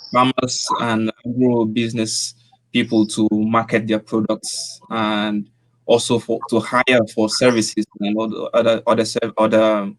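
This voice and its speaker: A man's voice: lowish voice, poor audio. Young man searching for words. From Nigeria. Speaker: agriculture student panelist. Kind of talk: online panel discussion.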